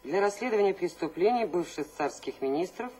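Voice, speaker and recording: An actress playing a brisk, formal revolutionary secretary reading out official statements in a radio drama. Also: female voice, on the low side, lively intonation, mellow, slight background noise, lightly acted. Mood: official, stern.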